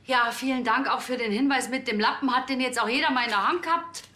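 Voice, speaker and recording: Higher-voiced sardonic forensic examiner. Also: female voice, quick pace, pushed and bright. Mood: sarcastic, annoyed. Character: brisk, sardonic female forensic examiner in crime comedy.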